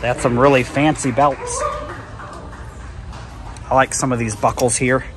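A man's voice: medium pitch, steady tone, unhurried, noisy background. A man vlogging a walkthrough of a large store on a handheld camera, chatty and easily impressed, thinking aloud. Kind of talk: casual walkthrough narration. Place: large retail store indoors. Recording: store music playing behind. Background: store music.

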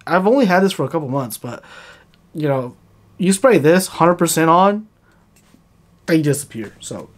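A man in his thirties, talking casually to camera about his fishing gear. He keeps checking in with "okay?", recorded in a plain indoor studio. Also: a little high. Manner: relaxed, chatty, often checks in with "okay?". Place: plain indoor studio against a grey wall.

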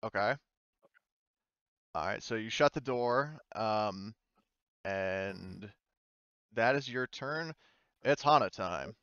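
A man's voice: fairly low pitch, slow pace, pauses often, fairly bright tone, clean tone, no echo, dull audio. A man acting as game master for an online tabletop game, speaking informally with some swearing.

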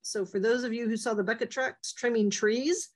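A woman presenting a committee update to a town board over a video call, speaking in a clear, explanatory and upbeat way. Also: on the high side, fairly even intonation, normal speed.

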